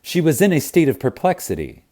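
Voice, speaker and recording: A man reading a spiritual audiobook reverently and emphatically, with deliberate dramatic pauses. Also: a little high, wildly swooping pitch, mellow. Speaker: audiobook narrator.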